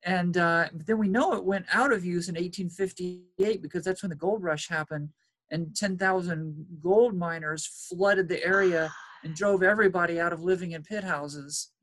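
Brief: woman archaeology expert guest, measured and explanatory, lower voice. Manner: measured, explanatory, some hesitations. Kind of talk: expert interview answers.

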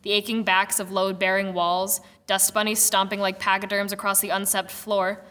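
A woman's voice: medium pitch, flat delivery, moderate pace, bright, forceful tone, close to an everyday voice. A woman narrating a radio drama in a wry, theatrical storybook manner. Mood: wry, storytelling. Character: wry storyteller narrating a girl inventor's tale.

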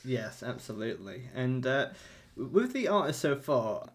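A man's voice: mid-range voice, a little slow. Chatty man with a northern English accent. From North Yorkshire. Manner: relaxed, chatty, with frequent fillers. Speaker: podcast host. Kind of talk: casual interview chat.

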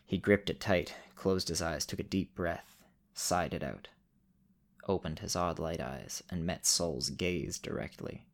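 Guy hosting and narrating an audio story show, low creaky voice. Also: unhurried. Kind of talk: narration and casual host talk.

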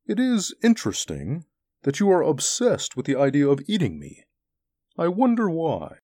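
A man voicing a huge, gentle alien beast in a story, speaking in an articulate, formal, pensive way. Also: a little high, huge pitch swings, normal speed, no echo. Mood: mildly amused. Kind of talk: storybook character acting.

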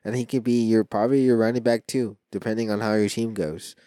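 A man's voice: lowish voice, steady tone, brisk, dark tone, clear, resonant tone, no room sound, clean studio sound. A man co-hosting a casual sports podcast, his voice stuffy from allergies, rambling and joking with frequent restarts. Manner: rambling, jokey, restarts often. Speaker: podcast co-host.

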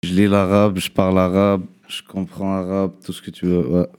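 A young man is answering questions in a relaxed interview. His is Arabic. He speaks haltingly, restarts his phrases and tags sentences with a loose "là". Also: deep voice, clean tone. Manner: halting, repeats words, trails off with "là". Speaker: guest rapper. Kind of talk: casual interview chat.

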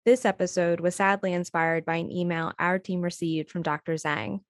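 A woman with a slightly low voice reads podcast narration from a script. She is composed and articulate, and the sound is dry and close, free of room echo. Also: fairly even intonation, normal speed. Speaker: podcast co-host.

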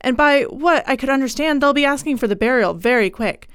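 Woman acting an agitated rustic housekeeper, high-pitched. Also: a little fast, a bit bright, smooth, no room sound, studio quality. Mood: shocked, gossipy. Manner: rustic dialect, breathless exclamations.

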